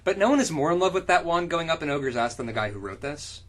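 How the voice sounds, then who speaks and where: A man's voice: on the high side, lively intonation, fast talker, slight background noise. A man co-hosting a comedic dramatic reading, swearing freely as he comments on the story. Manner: crude, frequently laughing, swearing commentary. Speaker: podcast co-host.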